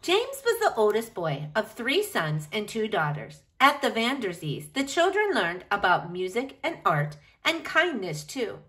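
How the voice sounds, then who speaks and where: A woman reading a picture book aloud to children in an expressive, animated way, speaking directly to her young listeners. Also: mid-range voice, a little slow, hardly any pauses.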